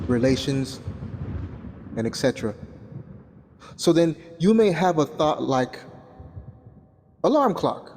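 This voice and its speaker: A man delivering a scripted spiritual sermon in a solemn, deliberate way with frequent pauses. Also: mid-range voice, a little mellow, slight background noise. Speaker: spiritual teacher, self-styled messenger of God.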